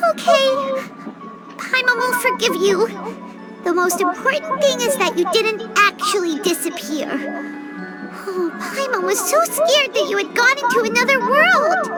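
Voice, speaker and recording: A woman voicing a small, childlike fairy companion in a video game, excitable, clingy and emotional, given to exclaiming. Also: a little slow. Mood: relieved. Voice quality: squeaky, childlike. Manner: refers to self in third person, exclaiming. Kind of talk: video game character acting. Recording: game music under the voice. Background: music.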